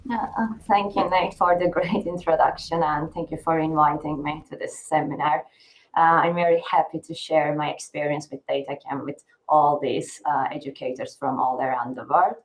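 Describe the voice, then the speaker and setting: A woman's voice: sits low, fairly even intonation, moderate pace, low-quality recording. A woman in her thirties from Turkey, a university professor presenting her teaching experience in a webinar, with frequent filler sounds. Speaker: guest speaker, assistant professor.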